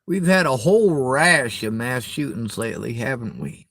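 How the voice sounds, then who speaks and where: A tired man with a Southern US accent, talking slowly in a rambling monologue to camera. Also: medium pitch, expressive, a bit bright, slightly rough, dry recording. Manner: slow, tired, drawling delivery. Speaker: vlogger.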